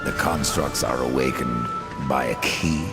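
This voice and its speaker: Sardonic grim reaper rider for a game, extremely low gravel, dry and terse, cavernous reverb with music. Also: male voice, measured pace, heavily acted. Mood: grim, questioning. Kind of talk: video game character acting. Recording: long reverb, music behind.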